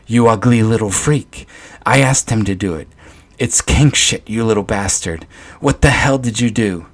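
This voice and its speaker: A man's voice: measured pace, slightly rough. A man voicing a deranged mother who taunts her son in a horror story. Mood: shrieking. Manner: shrill, taunting, frantic. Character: unhinged mother, shrill and mocking.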